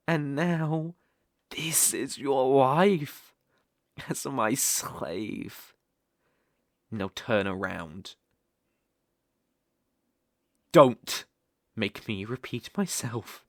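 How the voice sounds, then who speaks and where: A man with a British accent voicing a possessive, sadistic dark elf slave master in an intimate ASMR roleplay, taunting and condescending as he lingers on his words. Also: mid-range voice, expressive, very slow, pauses often, dry recording, clean studio sound. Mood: menacing, commanding.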